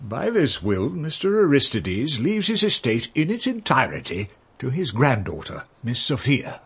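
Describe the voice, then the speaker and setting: Pompous, affronted, husky solicitor. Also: male voice, mid-range voice, huge pitch swings, moderate pace, full character voice. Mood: indignant. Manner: indignant, formal. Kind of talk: radio drama acting.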